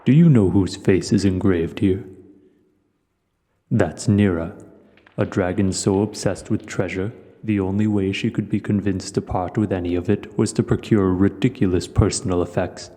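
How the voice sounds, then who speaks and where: A man voicing a proud, cultured male dragon who speaks in a measured, formal way in an audio roleplay. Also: deep voice, fairly even intonation, dark tone, natural voice. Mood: disdainful. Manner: measured, formal, haughty storyteller. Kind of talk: audio roleplay, scripted monologue to the listener. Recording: long cavernous reverb.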